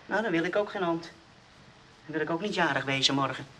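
An actor playing a naive, wheedling male burglar in a Dutch radio play, artless and quick to sulk like a child. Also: a little high, fairly bright tone, lightly acted. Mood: sulky. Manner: artless, pleading, petulant. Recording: noisy old radio play recording.

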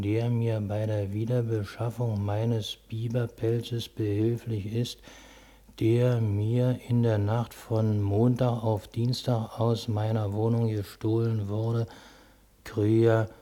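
An actor playing a conservative grumbler in a German radio play, reading deliberately and muttering to himself. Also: male voice, on the low side, mellow. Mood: deliberate reading aloud. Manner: slow, deliberate reading with muttered grumpy asides.

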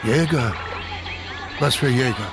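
A man's voice: mid-range voice, slow pace, over music. A man playing a guarded, probing riverboat captain in a radio drama, speaking in terse questions and statements. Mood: probing, suspicious.

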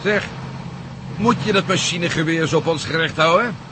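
A man's voice: a little high, normal speed, lightly acted. A man playing a cheeky, defiant captive in a radio play, restless and insistently needling with questions. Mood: impatient, needling. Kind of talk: Dutch radio play acting. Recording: loud car noise behind.

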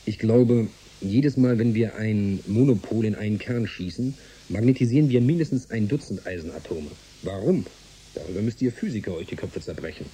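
Man playing a mellow, flippant space-factory engineer. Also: lowish voice, normal speed, slight background noise, natural voice. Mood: offhand. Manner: colloquial, flippant. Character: offhand middle-aged family man, space factory engineer, dismissive. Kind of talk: German science fiction radio drama acting.

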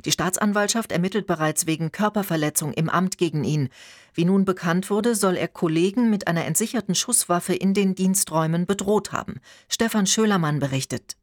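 Low-voiced German woman reading news. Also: brisk, no echo, studio quality. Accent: standard German. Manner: formal, factual delivery. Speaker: radio news anchor.

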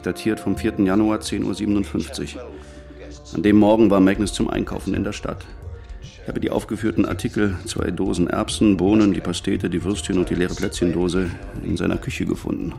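An actor playing a sober, methodical police detective with a dry manner in a radio drama. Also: male voice, deep voice, steady tone, brisk, creaky, a little mellow, faint music behind. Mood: analytical. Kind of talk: German radio drama dialogue.

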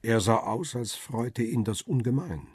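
An actor playing a middle-aged photographer who narrates his own crime story in a measured, wry, reflective way. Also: mid-range voice, darkish tone, breathy edge, dry recording, clean studio sound. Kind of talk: first-person radio drama narration.

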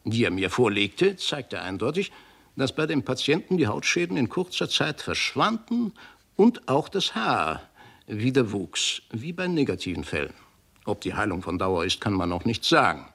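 An actor playing a learned clinic researcher in a 1960s radio drama, deliberate and lecturing in his delivery. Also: mid-range voice, very animated, gravelly, fairly bright tone, heavily acted. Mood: learned, explaining.

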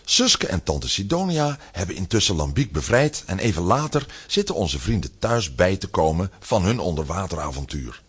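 A man narrating a children's radio play from a script, with a husky voice and animated storytelling. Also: low-pitched, normal speed, few pauses.

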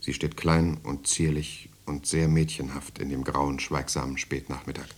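Weary political prisoner, extremely low voice, measured intimate narration, radio drama. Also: male voice, level tone, slight background noise. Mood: weary, tense. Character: weary male political prisoner telling his story. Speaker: actor, first-person narrator of a radio play.

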